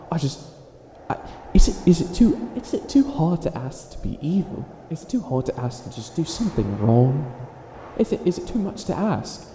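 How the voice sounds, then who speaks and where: A man's voice: medium pitch, dark tone, echoey room, a little hiss or hum. A man playing a theatrical, exasperated wicked witch who fails at being evil, stammering through a comedic roleplay monologue. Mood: exasperated, whiny. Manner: exasperated, stammering, melodramatic villain rambling.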